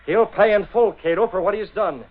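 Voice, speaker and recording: A commanding masked avenger from a vintage radio serial. His voice sits a little high and is clipped and deliberate. The sound is tinny and narrow, like an old broadcast. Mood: brisk, businesslike. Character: masked male vigilante posing as menacing avenger, doubling as publisher. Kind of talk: 1930s-40s radio drama acting. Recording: tinny, band-limited old radio recording.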